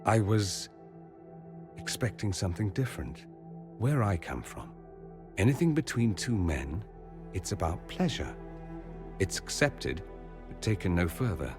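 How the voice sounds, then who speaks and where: Witty, theatrical young nobleman mage, lively swooping voice. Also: low-pitched, a little slow, pauses often, full character voice. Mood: wistful. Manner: theatrical, teasing, arch and ironic. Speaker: companion character in a fantasy role-playing game. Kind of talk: video game character dialogue. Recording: music under the voice.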